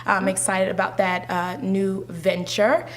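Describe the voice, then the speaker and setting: A woman's voice: mid-range voice, level tone, unhurried. Woman, pageant guest, rambling chatty delivery, light hum in the track. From Haitian descent. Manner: chatty, many fillers and restarts. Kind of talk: casual interview chat.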